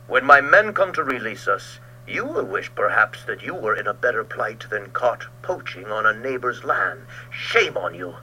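A man's voice: fairly low pitch, very animated, normal speed, pushed and bright, a little hiss or hum, exaggerated voice, low-quality recording. A man reading aloud and voicing a proud trapped landowner who answers his enemy fiercely and haughtily. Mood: defiant.

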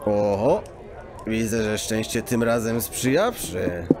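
A man playing a sly, composed hooded card sharp in an audio drama, mock-courteous with quirky archaic phrasing. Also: fairly low pitch, expressive, slow, background noise. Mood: smugly polite. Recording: tavern crowd murmur behind.

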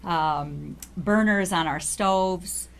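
Low-voiced woman praising her restaurant. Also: drawn-out words, creaky. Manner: proud, promotional. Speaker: restaurant general manager. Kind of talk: casual interview.

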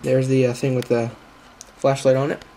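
A man hosting a casual unboxing vlog in a halting, informal way, recorded indoors on a handheld camera's built-in microphone. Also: medium pitch, flat delivery, mellow. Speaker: video host.

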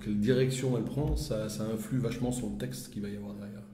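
A man's voice: on the low side, fairly even intonation, fast talker, warm tone. A man from France, giving a casual interview as a musician and often circling back over his phrases. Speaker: musician in a blues duo, interviewee. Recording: roomy, echoing space with background noise.

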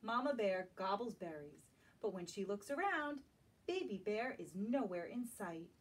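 A woman's voice: medium pitch, big pitch swings, husky. A woman around her forties reading a picture book expressively to children, as if at a library storytime. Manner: slow, questioning asides to young listeners. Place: small indoor room lined with bookshelves. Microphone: camera a few metres away. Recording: distant camera mic in a room.

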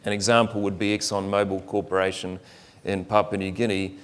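A middle-aged man speaking as a panelist at a conference, explaining his organization in a measured way and listing examples. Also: sits low, flat delivery. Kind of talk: panel discussion answer. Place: conference room.

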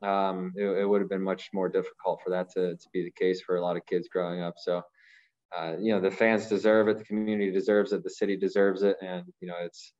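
A man with a very low voice answers press questions over a slightly dull, lo-fi video call. He talks in a measured way, often restarting and repeating words as he gathers his thoughts. He speaks like a professional athlete from Ohio. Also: flat delivery, a little mellow. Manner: measured, repeats words while thinking, many fillers. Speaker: professional goalkeeper. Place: small room, branded backdrop. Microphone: video call, masked.

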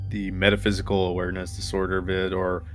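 Low-pitched man chatting casually over music. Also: completely flat delivery, fast, a bit bright. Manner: informal, peppered with "like", some swearing. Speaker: co-host of a horror discussion show. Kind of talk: casual discussion and commentary. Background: music behind the voice.

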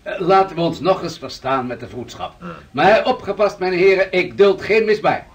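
An actor playing a touchy, pompous wealthy merchant in a radio drama. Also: male voice, sits high, expressive, moderate pace, far from the mic. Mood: stern, touchy.

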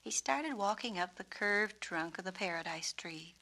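Bright-voiced woman storyteller. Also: medium pitch, low-quality recording. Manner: expressive, deliberate phrasing. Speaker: author and narrator. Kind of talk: children's storybook narration, 1970s record.

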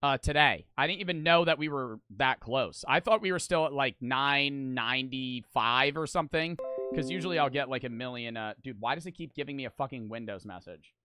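A young man streaming casually, talking fast and animated with lots of swearing, close to the mic. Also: mid-range voice, clean tone, no echo, soft background music.

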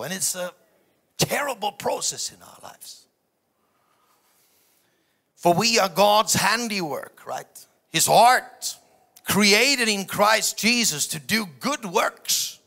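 A man's voice: on the high side, gravelly. A middle-aged man, a native German speaker, preaching an emphatic sermon from a stage into a handheld microphone with dramatic swings in volume. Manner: emphatic delivery with dramatic swings from hushed asides to shouted phrases. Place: large church auditorium stage before a seated audience.

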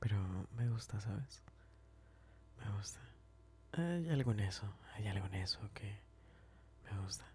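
A man playing a smitten, tender and teasing best friend in an ASMR roleplay, speaking softly and hesitantly, often whispering and repeating himself. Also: fairly low pitch, animated, measured pace, pauses often, full character voice. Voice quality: soft, breathy, often whispering. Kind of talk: ASMR roleplay, intimate chat.

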